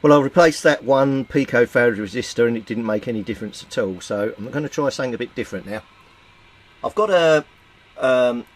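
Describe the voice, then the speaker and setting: Methodical man at a workbench. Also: on the low side. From Britain. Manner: plain, methodical, thinks aloud with fillers. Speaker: radio repair hobbyist. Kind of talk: technical repair walkthrough.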